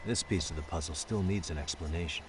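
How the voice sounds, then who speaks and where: A man playing a composed, sharp detective in a video game, speaking in a measured, deliberate way. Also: low-pitched, slight background noise, lightly acted. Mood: firm, analytical. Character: composed, sharp male detective laying out a case. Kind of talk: English game dub dialogue. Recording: loud music behind.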